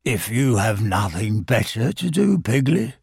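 A man voicing a gloomy old grey donkey in a storybook reading, husky, mournful and deadpan. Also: on the low side, unhurried, no room sound, clean studio sound, voice pushed down, heavily acted.

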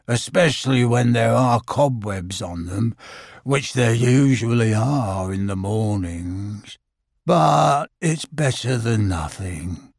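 A man voicing a gloomy old male donkey, speaking slowly and mournfully in a low voice. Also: animated, vocal fry, a little mellow, dry recording, studio quality, full character voice. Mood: glum. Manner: slow, low, sighing delivery.

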